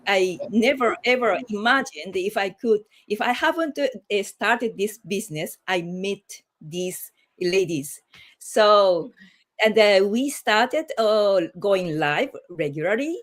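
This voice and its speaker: A woman with a Japanese accent hosting a casual livestream, speaking haltingly as she searches for words in a non-native language. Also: mid-range voice, expressive. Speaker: host of a group livestream.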